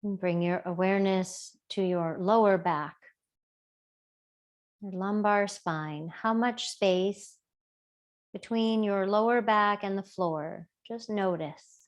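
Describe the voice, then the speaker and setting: Female meditation teacher, mid-range voice, very slow and soothing, leaving long pauses between gentle instructions. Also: medium pitch. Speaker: yoga and body awareness teacher. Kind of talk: guided body scan meditation.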